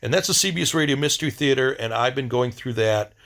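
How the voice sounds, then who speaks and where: Halting, blunt man rambling casually. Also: mid-range voice, vocal fry, fairly bright tone. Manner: halting, searching for words. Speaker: video diary host.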